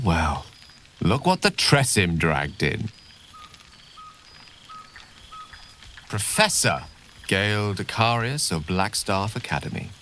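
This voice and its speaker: An actor playing a genial, erudite wizard professor in a video game, eloquent, wordy and a little pleased with himself. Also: male voice, mid-range voice, bright, forceful tone, slight background noise. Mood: jovial, showy. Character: genial, erudite male wizard professor, self-satisfied yet warm-hearted.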